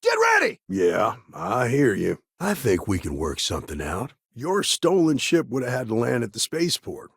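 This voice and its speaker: Gravelly, world-weary space ranger. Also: male voice, medium pitch, huge pitch swings, normal speed, hardly any pauses, fairly bright tone, dry recording, studio quality, exaggerated voice. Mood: urgent, forceful. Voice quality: gravelly, husky. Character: world-weary, guarded lawman ranger in a frontier space city. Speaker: video game voice actor. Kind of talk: game dialogue lines.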